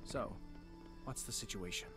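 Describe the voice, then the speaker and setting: An actor voicing a composed young nobleman swordsman in an anime game, polished, formal and quietly menacing. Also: a little slow, lightly acted. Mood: composed, dismissive. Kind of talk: anime game character dialogue. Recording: loud music under the voice.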